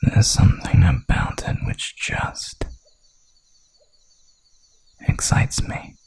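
A man voicing a hungry, dominant werewolf hunter in an ASMR roleplay, with a gravelly, breathy growl and a menacing, seductive drawl. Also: takes it slowly, darkish tone, close to an everyday voice. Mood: savoring fear.

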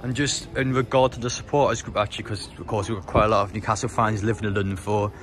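A man's voice: lowish voice, steady tone, fast, a bit bright. A man interviewing football fans on the street, asking long rambling questions. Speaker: interviewer, YouTuber. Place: city street outdoors.

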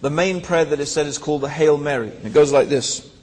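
A man's voice: fairly high pitch, brisk, darkish tone, slight background noise. A man, telling his life story to a hall audience, with rhetorical asides and many fillers, into a handheld mic. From born in Tanzania, raised in England. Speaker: speaker. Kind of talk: personal story talk to an audience. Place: large hall with seated audience. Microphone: handheld microphone. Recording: long hall reverb.

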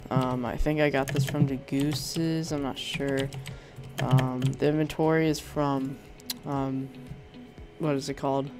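A man's voice: medium pitch, level tone, measured pace, over music. A young man with a sore throat, casually showing off a Minecraft resource pack, rambling with lots of hesitations. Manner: rambling, hesitant, many ums and restarts. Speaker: Minecraft YouTuber. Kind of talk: casual gameplay showcase commentary.

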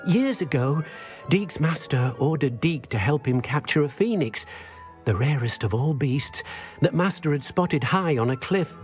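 Small sad house-elf in a game, talks about himself in third person, kinda high voice, music behind. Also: male voice, expressive, measured pace. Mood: remorseful. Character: small male house-elf, meek and guilt-ridden. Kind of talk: video game voice acting.

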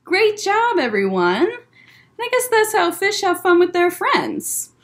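A woman's voice: very high voice, big pitch swings, takes it slowly. A young adult woman hosting a children's story time, explaining things simply as she chats and reads aloud. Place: library room with bookshelves, indoors. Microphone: webcam or laptop at desk distance.

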